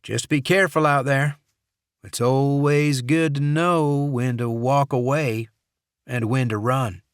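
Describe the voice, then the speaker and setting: A man's voice: mid-range voice, steady tone, slow pace, clean tone, no echo, studio quality, voice pushed up, lightly acted. A man voicing a folksy, friendly dock guard who loves classic country in an audiobook.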